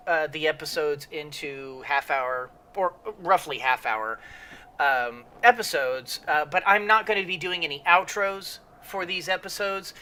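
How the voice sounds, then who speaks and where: A man's voice: on the high side, bright, forceful tone, slight background noise. A man hosting a gaming channel, rambling through casual let's-play commentary with lots of fillers, sniffly from a cold. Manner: rambling with many fillers and restarts.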